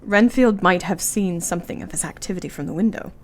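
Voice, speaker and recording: A woman's voice: lowish voice, fairly quick, natural voice. A woman acting an earnest, anxious young Victorian wife in a radio play, devoted and thoughtful, with formal, precise period phrasing.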